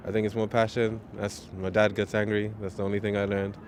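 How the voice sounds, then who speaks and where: A man's voice: fairly low pitch, little pitch movement, a little fast, background noise. A man giving terse, list-like answers in a street interview.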